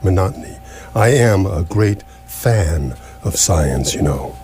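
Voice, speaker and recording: An actor in live radio theatre playing an ancient, absent-minded alien planet-builder, stately and portentous yet mildly apologetic. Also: male voice, deep voice, expressive, slow pace, over music, heavily acted. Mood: grave, mild. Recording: noisy live stage recording with hum.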